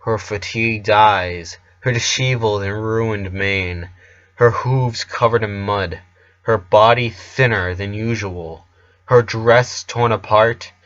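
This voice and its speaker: Man, scripted story narration, bright and tense delivery, lo-fi muffled sound. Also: lowish voice. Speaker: narrator of a fan fiction story.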